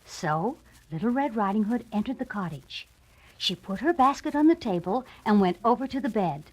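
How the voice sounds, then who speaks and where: A woman narrates a children's read-along storybook. Her medium-pitched voice is very expressive, rising and falling widely as she reads the scripted tale. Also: moderate pace, low-quality recording.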